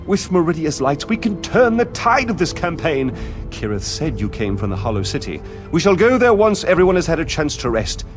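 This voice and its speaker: An actor playing an eager scholarly mage in a video game, with a formal, emphatic and theatrical manner. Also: male voice, on the high side, big pitch swings, a little fast, music in the background. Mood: resolute. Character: eager scholarly male mage leading a war campaign. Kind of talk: dramatic video game acting.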